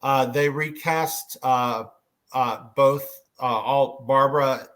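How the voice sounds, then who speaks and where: A man moderating a casual panel discussion, speaking in a halting, hesitant way. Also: mid-range voice, steady tone, a bit bright, slight background noise.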